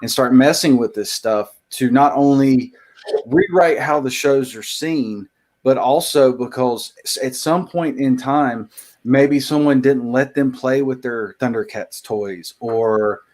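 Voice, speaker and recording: A man's voice: mid-range voice, takes it slowly, a little mellow. Man rambling through a pop-culture rant. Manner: ranting, rambling with fillers. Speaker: podcast co-host. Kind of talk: casual comedic rant.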